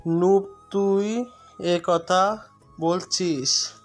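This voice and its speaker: Woman doing a crude thug guy, lowish voice, super slow taunting drawl, audio story. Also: fairly even intonation, soft background music. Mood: incredulous. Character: menacing, crude young male thug.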